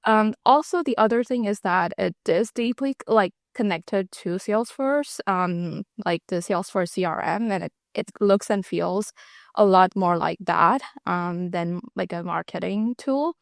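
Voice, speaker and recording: Young woman with creaky hesitant talk. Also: mid-range voice, unhurried, no room sound, studio quality. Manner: hesitant, many ums and likes. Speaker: co-host, marketing ops. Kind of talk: casual work chat.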